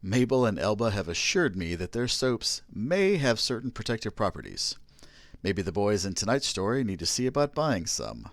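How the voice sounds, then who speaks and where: Deep, animated theatrical radio announcer man. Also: moderate pace, no echo. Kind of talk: old-fashioned radio announcing.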